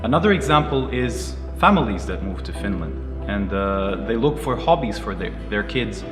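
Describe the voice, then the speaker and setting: Portuguese-born man, 30s, earnest interviewee; roomy echo with clear music bed. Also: lowish voice, sing-song. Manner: measured, earnest, with frequent uh pauses. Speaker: karate teacher and entrepreneur. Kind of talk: interview answers. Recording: roomy echoey space with music behind. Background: music playing under the voice.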